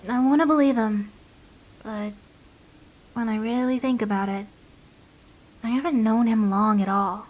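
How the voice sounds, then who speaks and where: Audio drama: uneasy, guarded young mother, fairly high voice, halting confessional monologue, emotionally raw. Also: steady tone, low-quality recording. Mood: suspicious, uneasy. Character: anxious, guarded young woman, reluctant new mother recording a diary.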